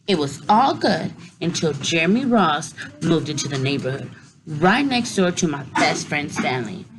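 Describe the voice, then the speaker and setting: A woman teacher reading a picture book aloud to children, tossing in playful asides between lines. Her voice is low yet lively, rising and falling with every turn of the story. Clear hiss and clicks sit under the recording. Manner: expressive, with playful asides to young listeners.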